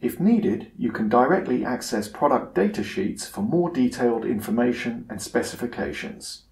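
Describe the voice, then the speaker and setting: A man giving a scripted software tutorial narration in a measured, instructional manner. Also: medium pitch, animated, reverberant.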